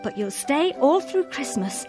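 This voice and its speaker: A young woman playing an excited, affectionate younger sister in a family radio drama, breathless and emphatic. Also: high-pitched, a little slow, faint music behind. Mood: eager.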